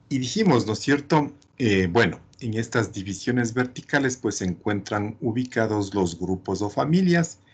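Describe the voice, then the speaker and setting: A man's voice: fairly low pitch, breathy edge. A man teaching a class, didactic and often adding short check questions. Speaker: chemistry teacher.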